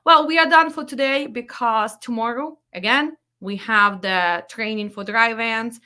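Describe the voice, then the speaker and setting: A woman's voice: fairly high pitch, measured pace, sharp tone. A woman with a Ukrainian accent giving a blunt, emphatic instructional talk as a freight dispatch trainer, repeating her instructions and speaking slightly broken English. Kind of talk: direct-to-camera instructional talk.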